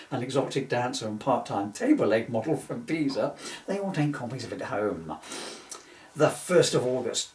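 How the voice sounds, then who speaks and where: A man reading from his own book in an informal way, with jokey, self-mocking, rambling asides. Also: medium pitch. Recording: echoey room, distant mic.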